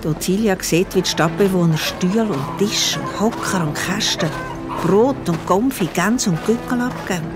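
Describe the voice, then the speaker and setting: A woman's voice: medium pitch, moderate pace. A woman with a Swiss accent narrating a children's audio drama from a script. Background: music.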